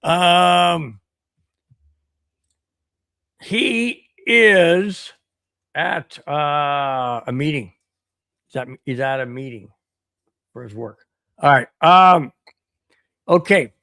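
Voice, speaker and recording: A man hosting a solo podcast stream, ranting animatedly and repeating himself while his voice wears hoarse. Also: fairly high pitch, expressive, drawn-out words, pauses often, bright, forceful tone, no room sound, studio quality. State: voice getting hoarse. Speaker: podcast host. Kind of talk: solo livestream rant reading chat.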